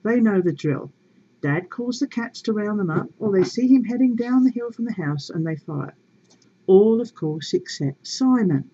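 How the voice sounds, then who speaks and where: A woman reading a story aloud in a measured, expressive and wry way, with casual asides to her viewers. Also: medium pitch, husky, lo-fi sound.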